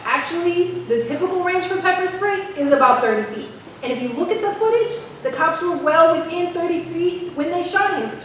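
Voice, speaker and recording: A woman's voice: extremely high pitch, moderate pace. A woman playing an argumentative young critic of police who acts out an officer in a staged play reading. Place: theater hall, recorded from the audience. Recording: roomy, distant stage recording with faint noise.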